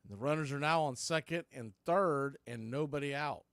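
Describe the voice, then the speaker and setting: Clear-voiced man calling baseball play-by-play. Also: a little high, normal speed, a bit bright, no room sound. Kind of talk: live play-by-play sports commentary. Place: indoors, calling the game from a video feed.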